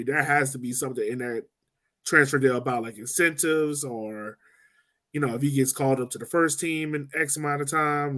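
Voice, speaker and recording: A man co-hosting a casual sports podcast, talking in an animated way with quick asides. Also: on the high side. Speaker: podcast co-host.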